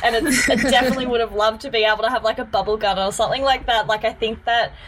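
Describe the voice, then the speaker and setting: A woman with a fairly high voice co-hosts a podcast. She talks casually and keenly, with a little noise behind her. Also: steady tone, rapid-fire. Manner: enthusiastic, informal. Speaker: podcast co-host. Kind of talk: casual chat.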